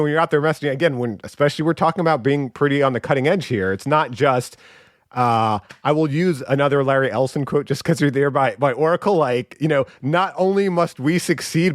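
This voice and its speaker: A man's voice: fairly high pitch, no echo, clean studio sound. A man hosting a podcast interview, talking in an enthusiastic, conversational way as he reacts to his guest. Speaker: podcast host.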